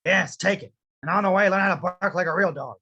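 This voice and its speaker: A man's voice: high voice, fast talker, vocal fry, pushed and bright, highs cut off, full character voice. A man playing a grumpy, sceptical old man, curt and exasperated, at a table read. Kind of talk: animated film script table read.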